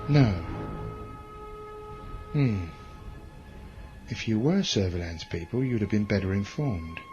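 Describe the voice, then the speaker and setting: Menacing space commander, lively pitch. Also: male voice, slow pace, dull audio, faint music behind. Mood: cold menace. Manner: commanding, contemptuous. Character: menacing, cold, cruel male space commander with a weapon hand. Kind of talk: science fiction audio drama acting.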